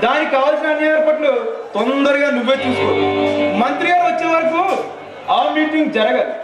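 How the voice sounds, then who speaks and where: A man's voice: very high-pitched, brisk. A man acting a bossy event organizer in a Telugu stage play, declaiming and giving orders. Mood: commanding. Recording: echoey stage PA, music and crowd noise.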